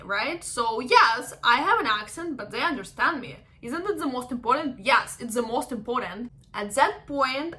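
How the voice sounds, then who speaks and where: A young woman from Ukraine talks straight to the camera with a Russian accent. Her voice is bright and fairly high, and her tone is earnest and encouraging as she keeps checking in with her viewers. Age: young adult. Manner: earnest, encouraging, often asks "right?". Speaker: vlogger. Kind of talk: casual talk to camera.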